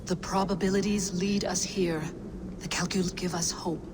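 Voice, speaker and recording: An actress playing a mysterious, knowing advisor to a god in a video game, calm and cryptic as she instructs. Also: fairly low pitch, normal speed, lightly acted. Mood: cryptic, hushed. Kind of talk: dramatic video game cutscene acting. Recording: long reverb, clear noise behind.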